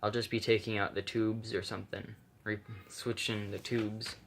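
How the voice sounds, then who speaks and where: A man with a fairly low voice talks casually through a hobby walkthrough. He is hesitant and rambles, correcting himself as he goes. The handheld recording carries faint noise. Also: level tone, moderate pace, fairly bright tone. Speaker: hobbyist vlogger. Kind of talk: casual, unscripted repair walkthrough. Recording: noisy handheld camera recording, clicks.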